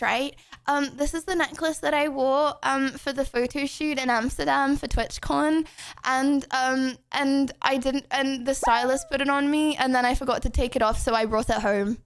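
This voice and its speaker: A young woman streaming live, chatting with her viewers in a bubbly, laughing way, full of "bro" and "okay". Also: high-pitched. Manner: excitable, laughy, slangy with lots of "bro" and "okay".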